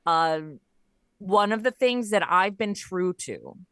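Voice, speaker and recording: A woman's voice: mid-range voice, takes it slowly, a bit bright, no room sound, clean studio sound. A woman speaking as an interview guest, telling stories in a casual, conversational way. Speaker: podcast guest, audio comedy creator.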